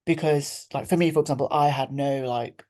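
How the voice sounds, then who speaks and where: A young woman hosts a casual interview and asks thoughtful questions in a loose, searching way. Her voice sits very low for a woman. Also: steady tone, a little fast, warm tone.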